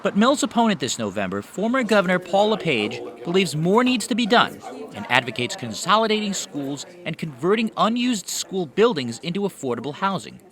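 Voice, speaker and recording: A man's voice: high voice, very animated, brisk, crowd noise. An American man delivering scripted narration as a television news reporter.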